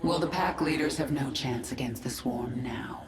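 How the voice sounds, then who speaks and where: A woman's voice: a bass voice, moderate pace, very noisy. A woman playing a formidable alien swarm queen in a video game, speaking with cool, dry, threatening authority. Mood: cool, assured. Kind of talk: video game cutscene acting. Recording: roomy echo, music behind.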